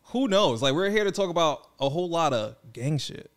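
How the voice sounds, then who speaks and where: A man in his twenties co-hosting a podcast, chatting playfully and teasing, recorded on a boom mic in a studio. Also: lively intonation, a little fast, a little hiss or hum. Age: 20s. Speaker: podcast co-host. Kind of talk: casual chat. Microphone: boom mic on stand.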